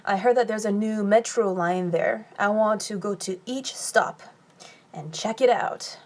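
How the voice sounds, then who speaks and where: A woman's voice: medium pitch, a little mellow. A young woman from California, casually answering viewer questions on a phone live stream while eating. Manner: chatty, reads comments aloud and answers with quick laughs.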